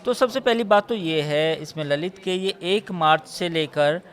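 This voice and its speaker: Male news reporter, slightly high voice, emphatic, repetitive, unscripted live analysis. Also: a little slow, a bit bright. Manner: emphatic, repeats points and lists figures.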